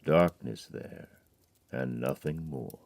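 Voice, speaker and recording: A man giving a brooding, measured dramatic reading of a poem, dropping to a hush on key words. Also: a bass voice, gravelly, no echo. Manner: measured, brooding recitation with hushed drops. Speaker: narrator.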